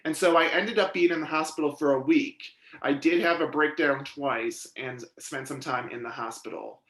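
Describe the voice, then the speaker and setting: A man giving steady, measured first-person voice interpretation of a deaf witness's testimony at a legislative hearing. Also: fairly high pitch, roomy sound. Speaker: sign language interpreter voicing a deaf witness. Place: hearing room.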